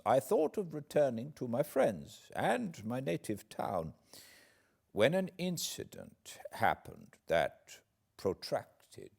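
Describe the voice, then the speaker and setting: A British man reading a classic novel aloud as an audiobook narrator, in a measured, theatrical and expressive way with deliberate pauses. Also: low voice, creaky. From Britain.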